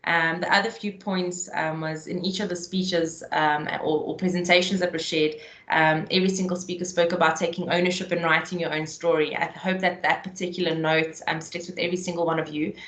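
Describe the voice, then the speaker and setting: A woman wraps up a webinar as moderator, thanking the speakers and filling her pauses with "um". Her voice sits on the lower side for a woman and rings in an echoey room. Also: little pitch movement. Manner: warm thanks, many "um" fillers. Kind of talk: closing remarks.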